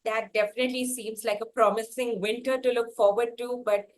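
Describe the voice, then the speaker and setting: A woman's voice: fairly high pitch, steady tone, a little fast. A woman hosting a music interview, polite and hesitant, often repeating her words. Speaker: music show host. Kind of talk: interview conversation.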